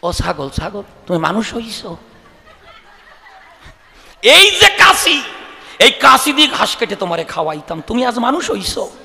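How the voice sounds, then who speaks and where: A man voicing a feisty, indignant old village woman who scolds in a rustic, drawn-out way, in a comic stage story. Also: sits high, very animated, creaky, sharp tone, voice pushed up, exaggerated voice. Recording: echoey hall sound system.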